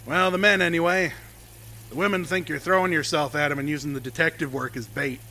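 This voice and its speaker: An actor playing a brash, cheerful logging boss who teases and talks folksy in a radio drama. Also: male voice, fairly high pitch, fairly even intonation, a little fast, a little hiss or hum. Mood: teasing. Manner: loud, folksy, teasing.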